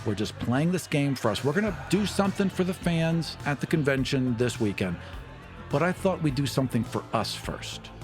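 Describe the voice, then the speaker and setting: A man's voice: on the high side, lively intonation, moderate pace. Male game master, music faintly behind. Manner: conversational, informal. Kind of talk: improvised tabletop roleplay and scene narration.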